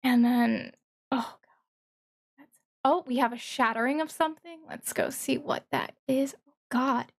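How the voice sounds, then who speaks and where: Woman streamer doing casual reaction commentary, slightly high voice, slow and halting, thinks out loud. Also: creaky. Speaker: streamer reacting to a game trailer.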